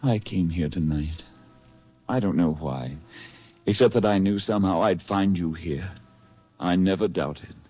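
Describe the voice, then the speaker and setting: An actor playing an exhausted, lovelorn spy on the run in a 1940s radio drama, with a halting, earnest delivery and a husky voice. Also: male voice, low voice, soft background music. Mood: tender confession. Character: hunted English spy, exhausted, tender, in love. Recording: muffled, husky-sounding 1940s radio recording.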